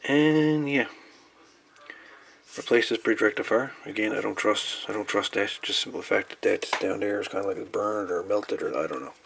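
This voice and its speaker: A man's voice: fairly low pitch, normal speed, gravelly, a bit bright, slight background noise. A man giving casual hands-on commentary as a repairer, rambling and folksy, repeating his words as he goes. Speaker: hobbyist radio repairer presenting. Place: indoor workbench.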